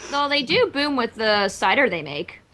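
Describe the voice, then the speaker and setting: A woman's voice: a little high, very animated, normal speed, pushed and bright. A woman chatting casually as a co-commentator on a story reading. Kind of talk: casual chat.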